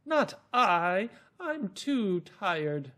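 A man voicing a tired, reluctant storybook cat with drawn-out, weary complaining. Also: sits high, sing-song, a bit bright, voice pushed up, exaggerated voice. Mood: weary refusing.

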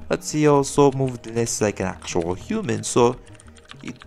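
A man's voice: mid-range voice, lively intonation, a little slow, vocal fry, a little mellow, faint music behind. A man playing a proud, bantering werelion vigilante in an audio roleplay, theatrical and formal in his wording. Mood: defensive banter.

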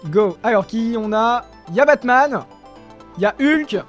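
Excitable high-voiced young man. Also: moderate pace, soft background music. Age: young adult. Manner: animated, exclaims often, talks to viewers. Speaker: gaming video creator. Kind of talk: excited gameplay commentary. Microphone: headphones with desk mic.

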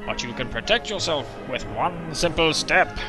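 A man's voice: a little high, expressive, moderate pace, natural voice. A man playing a pompous, alarmist civil-defense announcer narrating a mock-serious educational film. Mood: ominous. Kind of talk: parody of a mid-century educational film narration. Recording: narration over background music. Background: music.

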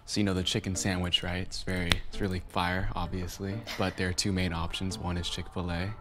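Man, very deep voice, casual instructions, loud music under. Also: little pitch movement, a little fast.

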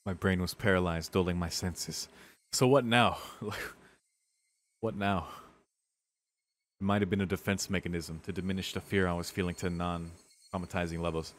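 A man's voice: deep voice, steady tone, normal speed. A man streaming a visual novel, reading the narration dramatically and breaking into casual, sweary reactions.

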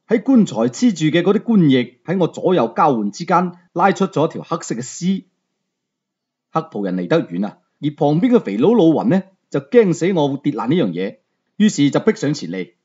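Mellow, husky male storyteller with lively pitch. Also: dull audio. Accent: Hong Kong Cantonese. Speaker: audiobook storyteller. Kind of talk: dramatic storytelling narration of a novel.

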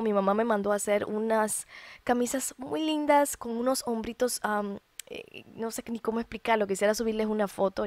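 A Dominican woman in her early thirties hosting a podcast, telling a personal testimony in a heartfelt, expressive way with colloquial asides. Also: mid-range voice, no echo. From Dominican Republic. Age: early 30s. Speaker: podcast host.

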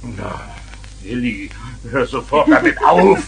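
Casting: rule-bound, fussy father in a radio play, slow delivery, wide pitch swings. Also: sing-song, vocal fry, heavy background noise, exaggerated voice. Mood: indignant. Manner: stern, hesitant, hemming. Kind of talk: 1970s radio play acting.

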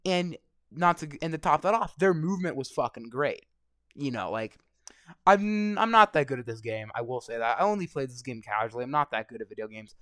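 A young man with a slightly hoarse voice, ranting and swearing constantly while commenting over gameplay. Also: mid-range voice, lively intonation, brisk, a bit bright, no echo, studio quality. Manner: angry, swearing constantly, rambling. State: voice going hoarse. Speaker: gaming vlogger.

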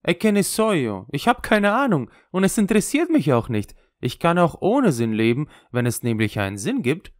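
A man's voice: on the high side, sing-song, moderate pace, few pauses, no room sound, studio quality, voice pushed up. A man voicing a cynical, worldly old traveling conjurer who speaks with an Italian accent and slips Italian words into his speech. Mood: dismissive. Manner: sly, mocking, sprinkles Italian words.

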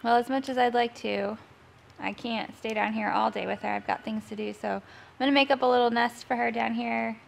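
A woman in her thirties narrating a casual homestead vlog in a gentle, soft-spoken way, recorded on a handheld camera indoors. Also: fairly high pitch, steady tone, a little fast, creaky, slight background noise. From Missouri, USA. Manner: soft-spoken, gentle, talks to animals and children. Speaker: homesteading vlogger. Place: farm building and home kitchen, indoors. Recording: music playing under the voice. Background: background music.

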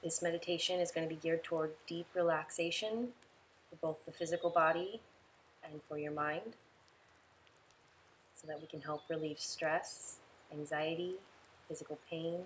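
A woman leading a guided relaxation meditation in a soothing, unhurried way, giving instructions in short, spaced phrases. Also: sits low, flat delivery, slight background noise, rough recording.

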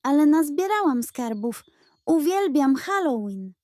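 A woman doing an excited little girl. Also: sits high, measured pace, no echo, studio quality, voice pushed up. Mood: proud. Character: cheerful little girl, proud and giggly.

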